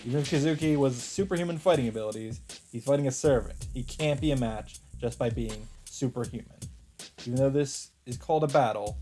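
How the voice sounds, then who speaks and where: Guy doing a let's play, reads the story out, medium pitch, faint game music. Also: warm tone. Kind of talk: reading game narration aloud with casual asides.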